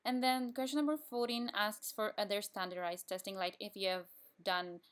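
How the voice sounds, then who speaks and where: A woman's voice: mid-range voice, fairly even intonation, moderate pace, clear, resonant tone. Young woman walking through a form. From Pakistan. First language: Urdu. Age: young adult. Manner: plain step-by-step instructions with frequent restarts. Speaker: student explaining an application form. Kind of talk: screen-recorded tutorial walkthrough.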